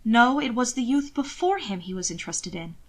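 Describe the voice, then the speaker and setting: A woman's voice: a little high, lively intonation, a little fast. A woman narrating scripted fiction, reading a story aloud.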